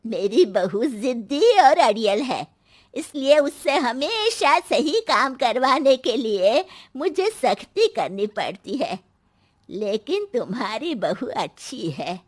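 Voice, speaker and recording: A woman voicing a stern old family matriarch in an animated moral story, chiding sharply. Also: a little high, exaggerated voice. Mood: firm advice. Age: older. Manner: sharp, chiding, drawn-out delivery. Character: stern, scolding old grandmother ruling her family.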